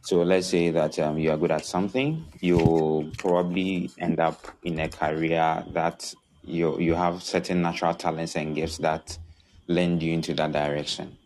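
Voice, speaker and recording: A man with a very low voice calls in to a live talk, laying out his ideas in numbered steps. He keeps asking whether listeners get it, on a remote line with a slight hiss behind him. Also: fairly even intonation, moderate pace, darkish tone. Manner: methodical, numbers his points and checks understanding. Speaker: caller giving input. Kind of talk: casual explanatory talk. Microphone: remote call-in line.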